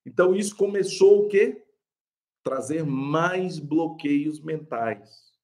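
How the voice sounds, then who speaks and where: A young adult man with a Brazilian Portuguese accent, a pastor teaching a live online class on preaching in an animated, chatty way, laughing often and calling viewers "gente". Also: on the high side, slow pace.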